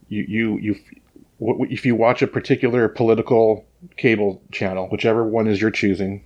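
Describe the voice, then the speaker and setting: Male podcast co-host, low-ish voice, close-miked, rambling and opinionated, frequent restarts. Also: a little mellow, lo-fi sound. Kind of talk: casual chat. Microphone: sits close to the microphone.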